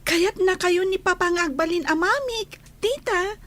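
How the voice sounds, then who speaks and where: An actress voicing a sweet, shy young girl who speaks slowly and timidly. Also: very high voice, fairly bright tone. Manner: slow, timid, childlike.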